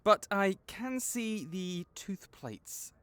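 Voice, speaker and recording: A man playing a gentle, caring vet for magical creatures in an audio roleplay, speaking in a measured, reassuring, explanatory way. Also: high-pitched, animated, smooth, exaggerated voice. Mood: knowledgeable, assured. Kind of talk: soft-spoken one-sided comfort roleplay.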